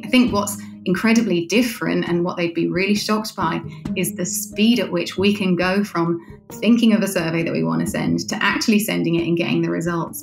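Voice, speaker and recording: A woman speaks conversationally as an interview guest, explaining a product. Her voice is on the low side, and the recording is noticeably roomy, with faint music behind it. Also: creaky.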